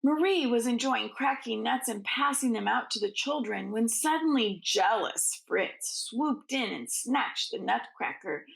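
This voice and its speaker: Female teacher for a children's read-aloud: higher-pitched, unhurried, expressive and informal. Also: vocal fry, poor audio. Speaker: school teacher reading aloud to students.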